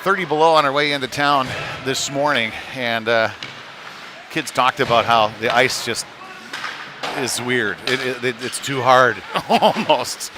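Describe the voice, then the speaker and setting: Man calling hockey over clear rink noise. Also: medium pitch, measured pace. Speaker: play-by-play commentator. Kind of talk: live youth ice hockey commentary. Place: chilly indoor ice rink. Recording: noisy rink ambience, clattering background.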